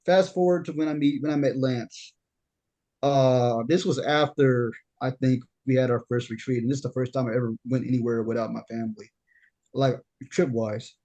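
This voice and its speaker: A young man with a deep voice hosting a casual podcast chat, often stammering and repeating words as he tells stories. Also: fairly even intonation, normal speed, mellow, poor audio. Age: young adult. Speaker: podcast host.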